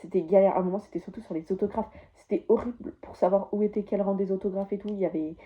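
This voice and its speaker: A woman's voice: mid-range voice, steady tone, fast, dull audio. A teenage girl vlogging from her bedroom, chatting casually and enthusiastically about her souvenirs, rambling as she goes. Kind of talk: casual chat to camera.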